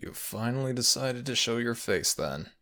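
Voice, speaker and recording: A man voicing a possessive, protective male fox spirit in an intimate ASMR audio roleplay, brooding and gravelly, with old-fashioned formal speech. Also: medium pitch, expressive, normal speed, bright, forceful tone. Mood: menacing, contemptuous. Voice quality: gravelly, breathy at times.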